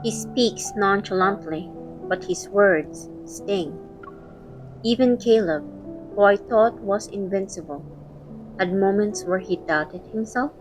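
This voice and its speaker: A woman's voice: medium pitch, slow, over music, rough recording. A woman reading scripted first-person narration for a romantic audio drama, also voicing its heroine.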